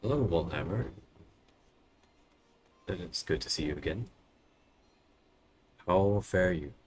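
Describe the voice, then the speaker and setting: A man with a very deep voice chats with his stream viewers in a friendly, casual way. A cough has left his voice hoarse, strained and coarse. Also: animated, takes it slowly, a little mellow. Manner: friendly, greets and thanks chat viewers. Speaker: game streamer.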